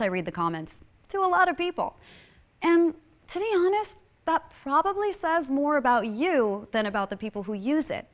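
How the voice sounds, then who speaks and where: A woman hosting a show reads scripted narration. Her voice sits a little on the high side. She is sassy and wry, with a dry, knowing bite. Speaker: host.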